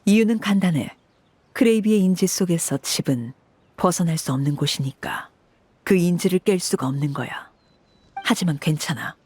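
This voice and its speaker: A woman voicing a stern, cold woman who runs an orphan house as its "Father", speaking in a cool, measured, commanding way. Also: lowish voice, a little mellow, slightly rough. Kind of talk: game voice acting.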